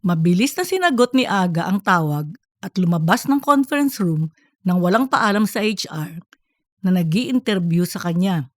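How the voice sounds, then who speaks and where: A woman reading scripted comedic fiction as an audiobook narrator, in a lively storytelling way. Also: lowish voice, normal speed, no echo, clean studio sound.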